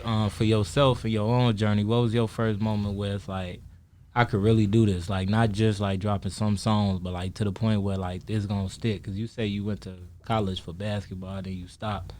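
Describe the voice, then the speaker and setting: A young man co-hosting a radio podcast, chatting in a relaxed, slangy, easygoing way. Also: lowish voice, moderate pace, slight background noise. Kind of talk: casual interview chat.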